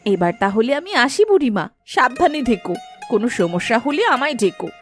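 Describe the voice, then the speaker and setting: A woman voicing a brisk, caring young village wife and mother in a cartoon folk tale. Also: fairly high pitch, sing-song. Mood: friendly, caring. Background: background music.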